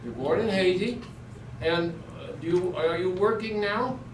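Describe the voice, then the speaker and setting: A man leading a Sabbath School class, chatting with members in a halting way and often repeating words. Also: high-pitched. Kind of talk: casual class interview. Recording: echoey room, distant mic, noise.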